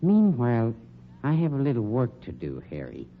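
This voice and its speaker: An actor playing a polished, sinister funeral home owner, sarcastic and condescending, in old radio mystery style. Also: male voice, mid-range voice, wildly swooping pitch, normal speed. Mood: scheming. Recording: dull, noisy old radio recording.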